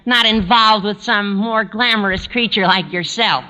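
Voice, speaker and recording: An actor playing a teenage schoolboy who affects a drawling, condescending worldliness in a 1940s radio comedy. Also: male voice, high voice, unhurried, pushed and bright, exaggerated voice. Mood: condescending, smitten. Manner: drawling, self-important. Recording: noisy, muffled vintage radio recording.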